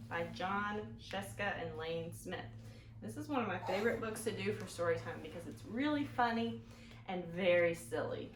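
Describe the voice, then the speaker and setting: A woman hosting an interactive storytime for small children, with a playful, sing-song manner and little questions to her young listeners. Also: mid-range voice. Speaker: children's library storytime host. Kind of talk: interactive storytime for small children, songs and picture-book reading. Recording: echoey room, clear background noise.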